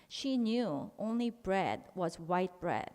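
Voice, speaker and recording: A woman's voice: medium pitch, expressive, slow pace, darkish tone, in a large hall. A middle-aged woman with a Korean accent, whose first language is Korean, giving a personal speech at an event with restarts and broken grammar. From South Korea. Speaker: guest speaker.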